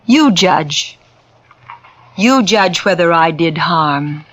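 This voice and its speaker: An actress playing a bitter bedridden woman who has been unable to speak for years, delivering 1940s radio drama lines in a slow, defiant way. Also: lowish voice, lively intonation, a bit bright, heavily acted. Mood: brooding, defiant. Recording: old radio broadcast with music.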